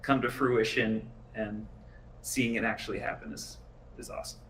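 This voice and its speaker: A man's voice: fairly low pitch, little pitch movement, normal speed, a bit bright. A man who develops games, talking in a casual conversational style. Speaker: game developer. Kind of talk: casual chat. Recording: distant mic, clearly noisy background.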